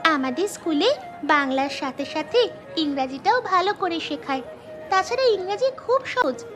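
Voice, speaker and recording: A woman's voice: very high-pitched, expressive, normal speed, music in the background. A woman voicing a bold, clever village schoolgirl in a cartoon story. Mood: proud.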